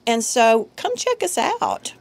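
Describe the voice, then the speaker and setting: A woman's voice: a little high, huge pitch swings, takes it slowly, vocal fry. Friendly middle-aged woman guest. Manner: friendly, earnest, laughs easily. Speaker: guest, chorus member. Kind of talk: casual interview. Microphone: studio microphone.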